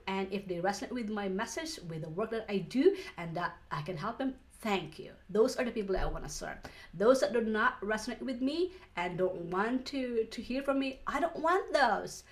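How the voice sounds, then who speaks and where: A woman from the Philippines with a Filipino accent, teaching an online course lesson in an earnest way, with frequent restarts and soft laughs. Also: mid-range voice, lively intonation, normal speed. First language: Filipino language. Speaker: online business coach.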